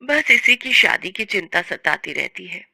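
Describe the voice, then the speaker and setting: A woman narrator acts a poor, ailing mother in an audio family drama. She sounds resigned and plaintive, with a bright voice recorded dry and close. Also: mid-range voice, animated, unhurried, vocal fry, no room sound, exaggerated voice. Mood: weary worry. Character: ailing, worried poor mother of a marriageable daughter. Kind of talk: family drama story acting.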